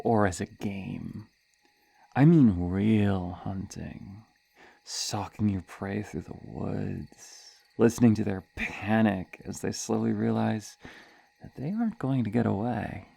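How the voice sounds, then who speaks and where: A woman's voice: a bass voice, gravelly, a little mellow, breathy edge, no room sound, exaggerated voice. A woman playing a sadistic, playful serial killer in an ASMR horror roleplay, teasing and menacing, with a hesitant stammer and drops into whispers. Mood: predatory, savouring.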